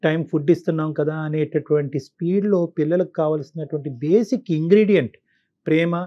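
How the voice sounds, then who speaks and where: A man's voice: a little high, fast, dull audio. A man counselling on a call-in show, explaining his advice point by point and often repeating himself. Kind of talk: advisory talk to caller and co-host.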